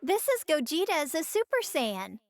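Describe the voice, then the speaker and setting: A woman playing a sweet, cheerful young woman in an anime game, gentle, agreeable and curious. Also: high-pitched, sing-song, normal speed, a little hiss or hum. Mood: chatty. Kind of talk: English anime game dub banter.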